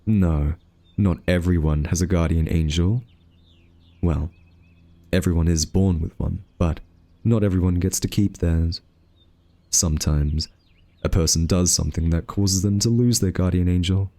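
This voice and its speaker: A man's voice: deep voice, measured pace, long pauses, dark tone. A man playing an exasperated, protective guardian angel in an ASMR roleplay, with a scolding, flustered, huffy manner. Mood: grudging, prim. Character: exasperated, fussy, protective male guardian angel, rule-bound and tsundere. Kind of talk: ASMR roleplay audio drama addressed to the listener.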